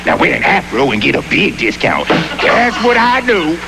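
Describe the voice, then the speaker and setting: A man delivering a radio sales pitch. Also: big pitch swings, moderate pace, creaky, music in the background.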